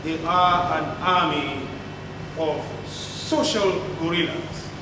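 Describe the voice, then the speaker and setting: A man narrates theatrically in a big reverberant hall, heard from far off through clear noise. His delivery is slow and declamatory, broken by dramatic pauses. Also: on the high side. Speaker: stage presenter. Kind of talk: theatrical scripted stage narration. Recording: echoey hall from far off, noisy.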